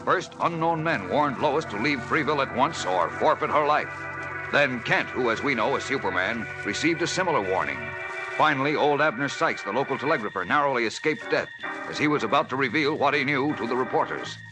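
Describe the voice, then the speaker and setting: A man narrating a 1940s radio serial as its announcer, dramatic and portentous, with measured phrasing. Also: medium pitch, lively intonation, music in the background, rough recording.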